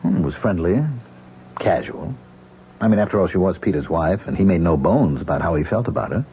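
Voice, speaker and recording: A wounded, defensive stage actor in an old radio play, with a low voice that swings widely. The sound is thin and phone-like, with a faint hiss underneath. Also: male voice, lively intonation, brisk. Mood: guarded. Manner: guarded, then anguished and bitter. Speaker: patient. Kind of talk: 1970s radio drama acting.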